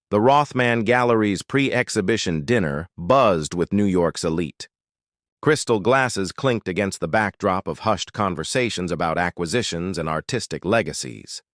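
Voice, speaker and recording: A man narrating a scripted dramatic story in a measured, dramatic way. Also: fairly low pitch, a bit bright, no echo, studio quality.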